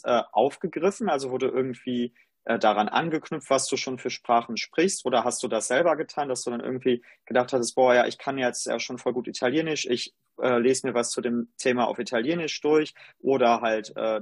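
A man's voice: mid-range voice, dry recording. A man interviewing, asking long, rambling questions full of fillers. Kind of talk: conversational interview.

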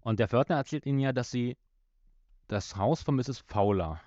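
A man's voice: mid-range voice, normal speed, darkish tone, dry recording, lo-fi sound. A man co-hosting a podcast, casually talking through the details of an audio drama. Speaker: podcast co-host.

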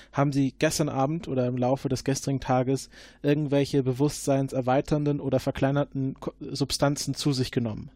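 A man's voice: medium pitch, warm tone, no echo, close to an everyday voice. A man doing a dryly sarcastic agent interrogating. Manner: probing, dryly sarcastic questioning. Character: probing male time-travel agent, adult, sardonic interrogator. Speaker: time-travel agent investigating a case.

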